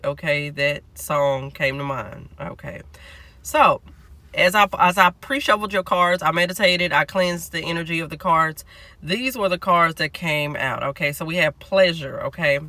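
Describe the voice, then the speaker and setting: A man's voice, somewhat high and strikingly bright, thickened and nasal from a lingering cold. He talks casually through a tarot reading for his audience, often pausing to ask "okay?" to keep listeners with him. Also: no room sound. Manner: chatty, keeps checking in with "okay?". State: nasal, getting over a cold. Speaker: tarot reader. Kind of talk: collective tarot reading to camera.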